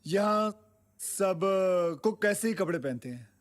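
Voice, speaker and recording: A man's voice: sits high, expressive, takes it slowly. An actor playing a flustered, self-pitying son-in-law in a comedy film, hesitant with his excuses and prone to frantic rants. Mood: evasive, flustered. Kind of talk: broad Hindi comedy film acting.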